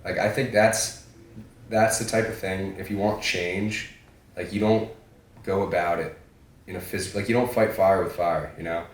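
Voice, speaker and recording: A man's voice: low voice, fairly even intonation, normal speed, slight background noise. A young man hosting a podcast, talking in a laid-back way with casual swearing. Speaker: podcast host. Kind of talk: casual chat. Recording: echoey room, distant mic.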